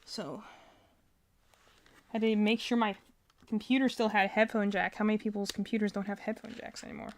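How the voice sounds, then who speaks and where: A woman's voice: mid-range voice, little pitch movement, fairly quick, long pauses, a little hiss or hum. A woman hosting a livestream in a casual, rambling way, thinking aloud and often correcting herself as she goes. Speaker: livestream host.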